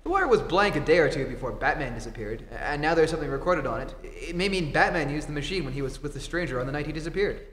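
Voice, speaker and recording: A man playing a calm, inquisitive investigator and mentor in an old-time radio play on a theatre stage. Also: a little high, quick pace, hardly any pauses. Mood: thoughtful. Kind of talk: 1940s radio acting. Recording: echoey theatre hall.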